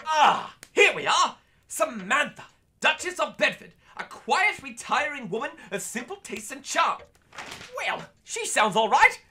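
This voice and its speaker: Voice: sits high, very animated, a little slow, sharp tone, exaggerated voice. An actor playing a pompous, panicky and callous lord of the manor in a comedy audio drama, with a British accent and florid, theatrical speech. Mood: exasperated, disdainful.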